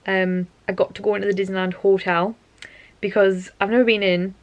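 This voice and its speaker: A woman's voice: medium pitch, level tone, brisk, no room sound, highs cut off. A young woman, tired and losing her voice, rambling chattily to camera at home at night. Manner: rambling, chatty, self-interrupting. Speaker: vlogger. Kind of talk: casual chatty monologue to camera.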